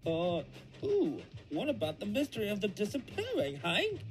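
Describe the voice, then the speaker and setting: A woman voicing an excitable, childlike male diesel engine sidekick in a fan cartoon dub, gushing and eager. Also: mid-range voice, moderate pace, soft background music, low-quality recording. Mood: eager, curious. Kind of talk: fan comic dub voice acting.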